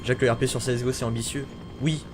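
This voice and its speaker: A man chatting and joking as he streams a shooter game, with sudden shouts breaking through. His voice sits at a middling pitch. Gunfire and game noise rattle clearly behind him. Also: flat delivery, racing. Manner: chatty, jokey, shouts in bursts. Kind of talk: casual gaming chat. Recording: noisy game audio with gunfire.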